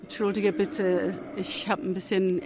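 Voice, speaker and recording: Slow, halting church speaker with a cold. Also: medium pitch, flat delivery, noisy background. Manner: halting, with frequent restarts and pauses. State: has a cold. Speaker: church speaker giving a testimony. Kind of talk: spoken testimony at a church service.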